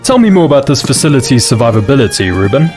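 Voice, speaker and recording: A man's voice: mid-range voice, big pitch swings, fast, over music, lightly acted. A man playing a wealthy, entitled tech mogul with a casual, probing way of talking in an audio drama. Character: wealthy, demanding male tech mogul shopping for a bunker.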